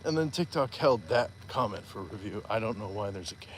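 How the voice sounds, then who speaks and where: A man's voice: fairly low pitch, lively intonation, a little fast, slight background noise. A man hosting a live stream while walking outdoors, chatting casually and reading viewer comments aloud with playful asides. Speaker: livestream host.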